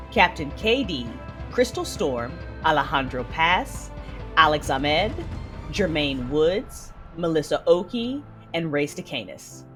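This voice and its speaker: Woman, podcast announcer, low pitch, lively intonation, scripted sign-off, soft music behind.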